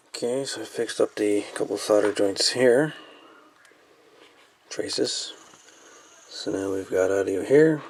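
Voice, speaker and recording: Low, gravelly man thinking aloud. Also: a little mellow, a little hiss or hum, poor audio. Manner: hesitant, thinking aloud, folksy. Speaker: hobbyist radio repairman presenting a repair. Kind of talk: casual hands-on repair demonstration. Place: indoors at a repair bench.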